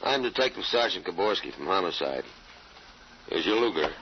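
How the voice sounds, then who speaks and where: A gruffly friendly city detective with a fairly low voice and a brisk, plain-spoken manner. It is old radio acting, on a dull recording with light noise. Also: male voice, big pitch swings. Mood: businesslike, friendly. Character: blunt, folksy homicide detective sergeant, tough city cop.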